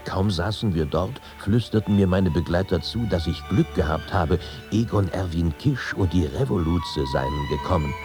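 An admiring male witness from bygone bohemian days in a radio feature. His voice is low, and music plays clearly behind him. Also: expressive, moderate pace. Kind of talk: literary quotation reading in a radio feature.

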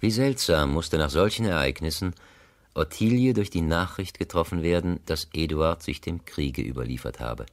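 An actor narrating a literary radio play as a solemn, reflective storyteller with a measured, elegiac delivery. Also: male voice, extremely low pitch, expressive, dry recording. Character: solemn, reflective male narrator of a classic novel.

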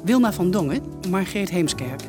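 Announcer doing radio play end credits, middling pitch, loud music underneath. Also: animated, moderate pace. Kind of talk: scripted closing credits announcement.

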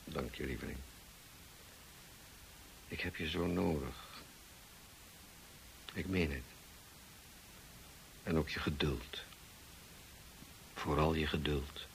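A brooding, prickly gentleman husband in a radio play. His voice is deep and his manner curt and brusque, over audible tape hiss. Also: takes it slowly, vocal fry, long pauses, full character voice, low-quality recording. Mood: regretful, tender. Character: moody, irritable gentleman husband, brusque yet needy. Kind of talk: radio drama acting. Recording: hissy radio drama recording.

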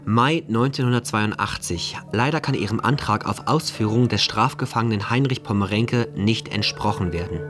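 An actor playing a formal prison official who reads out case files in a bureaucratic manner for a radio play. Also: male voice, on the low side, normal speed, few pauses, fairly bright tone, close to an everyday voice. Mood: formal, detached. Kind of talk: radio play file reading.

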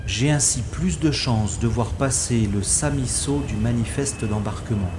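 An actor playing a wry, stubborn special agent who narrates his own science-fiction audio drama in a sardonic, deliberate way. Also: medium pitch, background noise, lightly acted. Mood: watchful, wry.

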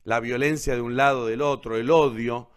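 A man's voice: mid-range voice, steady tone, normal speed, clear, resonant tone. Argentine man giving an informal literary talk. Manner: didactic, seeking agreement with tag questions. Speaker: host introducing literature.

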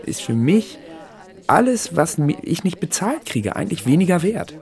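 An actor playing an irritable, self-critical misanthrope in naturalistic radio drama, arguing bluntly and coarsely in everyday conversation. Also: male voice, a little high, big pitch swings, moderate pace, a little mellow. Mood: bleak, self-critical. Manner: argumentative, blunt, coarse-mouthed.

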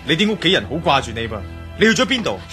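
A man's voice: fairly high pitch, big pitch swings, rapid-fire, over music. A man playing an insistent, impatient investigator in a radio drama, pressing with questions in Hong Kong Cantonese. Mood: concerned, probing. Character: insistent, impatient male investigator, master of the house.

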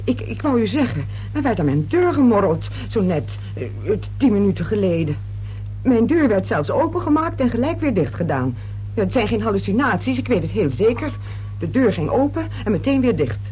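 A woman's voice: medium pitch, sing-song, moderate pace, dark tone, highs cut off, close to an everyday voice. An actress in a radio play playing a nervous, defensive woman under questioning, hesitant and insistent as she protests. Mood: agitated. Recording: radio play recording with hiss and hum.

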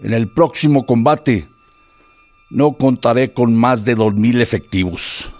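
An actor playing a defeated middle-aged Mexican army general, reading a formal military report in a solemn, measured way for a radio drama. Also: male voice, mid-range voice, darkish tone, no echo, soft background music, close to an everyday voice. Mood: grave, formal.

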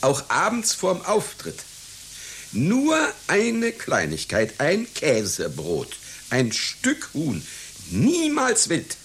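An actor playing a vain, melancholy aging circus clown in a radio play, pompous and halting, with stammers. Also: male voice, fairly high pitch, huge pitch swings, a bit bright, exaggerated voice. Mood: haughty, melancholy. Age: older. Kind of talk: satirical radio play acting. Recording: hissy radio play recording.

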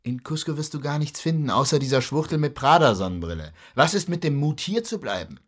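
An actor playing a bitter, sceptical, hurt husband in a radio drama, with sarcastic, pointed delivery. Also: male voice, fairly high pitch, expressive, brisk, breathy edge.

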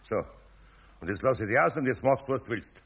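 An older Bavarian craftsman, gruff but kindly and patient. His voice is husky and gravelly, with lively swings in pitch, in the style of a 1970s children's radio play. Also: a little fast. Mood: earnest, patient.

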